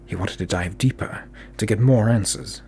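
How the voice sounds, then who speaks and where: A male narrator delivers dramatic scripted fantasy storytelling. His voice is lowish and very husky, with a heavy gravelly creak, and his phrasing is deliberate. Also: a little mellow.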